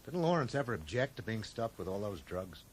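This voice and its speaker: A man's voice: on the low side, sing-song, brisk, a little hiss or hum. An actor playing a determined, sardonic man in a radio drama, with a dry, questioning manner. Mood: probing. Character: determined, sardonic American man probing a friend's deception.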